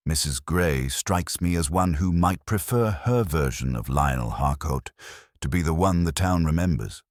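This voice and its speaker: A very low-voiced inspector acted by a man reading a mystery story muses calmly and dryly. He is detached and measured, turning each thought into a neat aphorism, in a dry, close recording. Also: dry recording. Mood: musing. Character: calm, thoughtful detective inspector, male, dryly philosophical.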